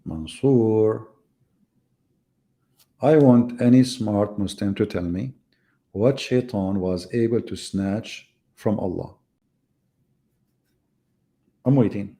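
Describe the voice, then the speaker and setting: Gravelly, mocking man on a live debate. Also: lowish voice, slow pace, dark tone. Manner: mocking, sarcastic rhetorical questions. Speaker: debate host. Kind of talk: live online debate monologue.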